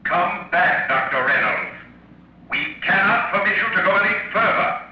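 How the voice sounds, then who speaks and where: Echoing, stern commander. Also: male voice, high voice, animated, normal speed, slight background noise. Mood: stern warning. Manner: formal, authoritarian, declamatory. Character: stern, commanding male leader of captors issuing warnings. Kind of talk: 1950s radio drama acting. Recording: echoing, muffled old radio broadcast.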